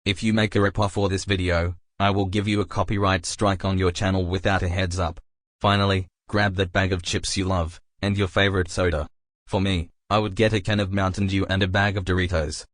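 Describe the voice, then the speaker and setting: Man, low pitch, monotone delivery, dry close sound, scripted disclaimer narration. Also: fairly quick, no echo. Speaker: video creator.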